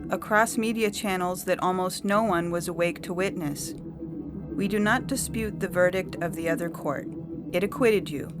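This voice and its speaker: A woman playing an imperious female judge, speaking with stern, measured certainty in a dramatic story reading. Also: on the low side, music in the background, lightly acted. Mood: stern conviction. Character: implacable, imperious female judge of absolute conviction.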